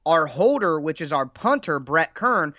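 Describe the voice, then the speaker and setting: A man with a slightly nasal, bright and forceful voice lays out earnest football analysis in a casual podcast chat. His voice sits a little high, and the audio is dry and somewhat dull, like a remote call. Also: big pitch swings, measured pace, dry recording. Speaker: podcast guest, team podcast host.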